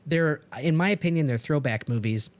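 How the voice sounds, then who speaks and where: A man's voice: a little high, fairly quick. A man hosting a casual podcast discussion, talking in an enthusiastic, rambling way. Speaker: podcast host and producer. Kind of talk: casual podcast discussion about film music.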